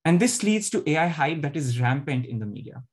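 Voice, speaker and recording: A man's voice: a little high, sing-song, fairly quick. A man giving an academic talk over a video call, explaining with frequent fillers and restarts. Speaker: panel presenter. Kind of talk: academic presentation.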